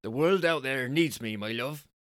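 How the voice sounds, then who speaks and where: An earnest, self-important would-be superhero, played by a man in a smooth mid-range voice. He makes solemn declarations to his partner, recorded close and dry. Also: medium pitch, moderate pace, no echo, natural voice. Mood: solemn, righteous. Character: earnest, deluded self-styled superhero boyfriend, adult man.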